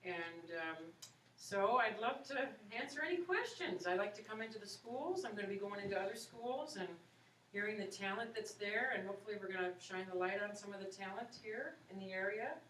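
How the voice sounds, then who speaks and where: A woman's voice: mid-range voice, animated, fairly quick, fairly bright tone. A woman in her forties, an opera singer and voice teacher, chatting in an encouraging way with students and taking their questions. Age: 40s. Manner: chatty, encouraging, many "you know"s. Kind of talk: casual talk and Q&A with students. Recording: echoey room, far from mic, faint hiss.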